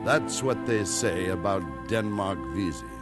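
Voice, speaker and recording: An actor playing a grave narrator who recounts a legend in solemn, measured 1950s radio drama style. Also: male voice, low voice, lively intonation. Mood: solemn, ominous. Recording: 1950s radio recording, faint music behind.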